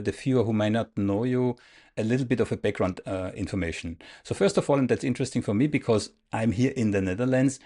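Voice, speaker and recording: A man's voice: mid-range voice, dark tone. A man hosting a political interview, making an earnest, emphatic appeal to his viewers before questioning his guest. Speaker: interview host. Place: in the Netherlands.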